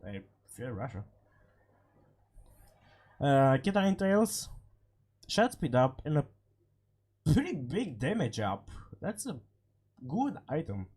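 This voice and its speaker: A man giving casual, unscripted game commentary, halting and repeating short phrases, his voice slightly hoarse from a cold. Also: on the high side, sing-song, darkish tone. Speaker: gaming video creator.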